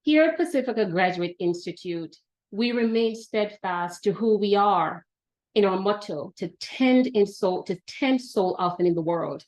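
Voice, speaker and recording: Slow, deliberate Jamaican woman. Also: mid-range voice, a little mellow, low-quality recording. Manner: slow, deliberate and emphatic, with repeated phrases. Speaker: institute leader giving a welcome address. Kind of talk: prepared inspirational speech. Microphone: video call.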